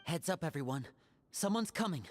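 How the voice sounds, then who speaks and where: A woman's voice: on the low side, animated, brisk, soft background music, lightly acted. An actress playing a composed, serious, cautious swordswoman in a fantasy video game. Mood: wary.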